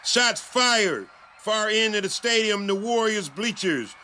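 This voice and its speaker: A man voicing a panicked father who shouts urgent orders in an audiobook drama. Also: high voice, expressive, takes it slowly, a little hiss or hum, pitched above the performer's own voice, heavily acted. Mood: urgent alarm. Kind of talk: audiobook character acting.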